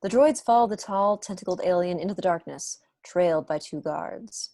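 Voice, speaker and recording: A young woman narrates a screenplay from home on a video call. Her voice sits fairly low, and the sound is dry. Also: moderate pace, mellow, no room sound. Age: young adult. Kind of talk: scripted narration, reading stage directions.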